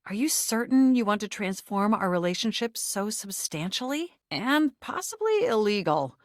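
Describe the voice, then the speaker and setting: A woman's voice: medium pitch, big pitch swings, normal speed, no room sound, clean studio sound, close to an everyday voice. A woman voicing a sentient home computer in a dating video game, nerdy and romantic, with flirtatious, theatrical delivery and formal phrasing. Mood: polite, measured. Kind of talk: video game character voice acting.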